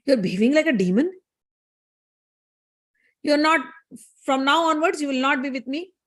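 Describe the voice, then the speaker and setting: A woman's voice: sits high, expressive, quick pace. A woman with an Indian accent voicing a stern, righteous goddess who scolds and commands in a spoken myth. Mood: stern condemnation.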